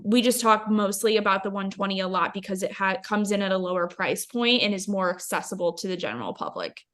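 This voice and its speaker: A woman's voice: mid-range voice, fairly bright tone. A woman company representative answering product questions informally, a little hesitant and correcting herself as she goes.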